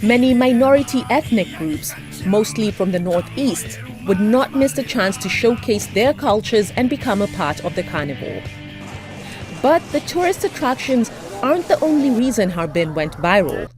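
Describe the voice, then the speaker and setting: Woman, news reporter, scripted narration, animated delivery, faint music. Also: a little high, moderate pace. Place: outdoors in a snowy city.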